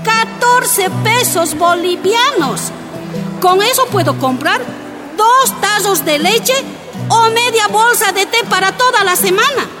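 An actress playing a defiant Bolivian miners' wife and union activist, speaking in impassioned, emphatic oratory in a radio drama. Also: female voice, very high-pitched, slow pace. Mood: indignant. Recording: music and crowd behind voice.

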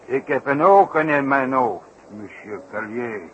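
An actor playing a self-important, wordy circus clown in a 1960s radio play, repeating himself for emphasis. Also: male voice, mid-range voice, unhurried, close to an everyday voice. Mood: solemnly boastful. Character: excitable, boastful old-fashioned circus clown with foreign flourishes. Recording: dull, noisy 1960s radio recording.

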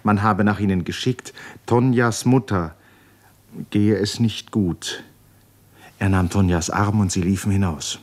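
Narrator for a literary radio play: man, very low pitch, solemn and measured. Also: steady tone.

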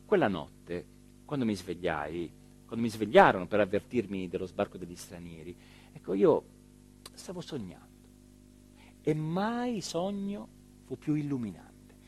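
Self-important small-town mayor, rueful rambling stage monologue, voice swoops up and down a lot. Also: male voice, on the low side, very animated, darkish tone, faint music behind. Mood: musing. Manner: rambling, hesitant, emphatic, with repeated phrases and pauses. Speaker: stage actor performing a radio theatre monologue. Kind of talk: theatrical dramatic monologue.

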